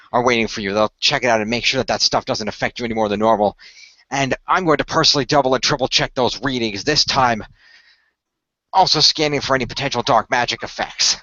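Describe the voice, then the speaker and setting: A man playing a calm, technically minded team leader in an amateur radio play, speaking in a measured, authoritative, explanatory way. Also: medium pitch, no room sound, lo-fi sound, close to an everyday voice.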